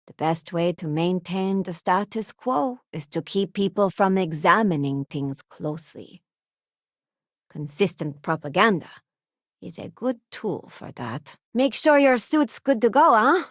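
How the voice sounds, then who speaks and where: A woman's voice: mid-range voice, no echo, studio quality, lightly acted. An actress playing a principled, idealistic female crew companion in a space video game, speaking sincerely and conversationally. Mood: helpful, ready. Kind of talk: acted game companion dialogue lines.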